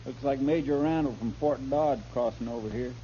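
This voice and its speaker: An American actor playing an eager, polite, slightly hesitant marshal's helper in a radio western. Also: male voice, medium pitch, a little fast, mellow, lightly acted. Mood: deferential. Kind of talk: 1950s radio western acting. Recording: muffled, noisy old radio recording.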